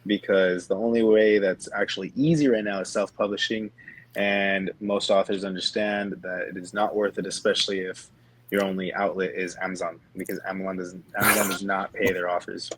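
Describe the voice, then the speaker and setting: Young male co-host, twenties, lowish voice, casual personal storytelling, chatty phrasing. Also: little pitch movement, fairly quick, breathy edge, poor audio. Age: 20s. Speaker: podcast co-host.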